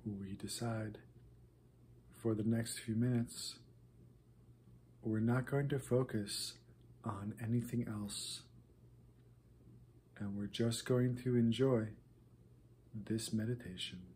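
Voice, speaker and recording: Low soft guy leading a meditation, super slow, faint hiss behind. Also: fairly even intonation. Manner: slow, soft-spoken, with long pauses. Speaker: meditation guide. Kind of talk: guided meditation.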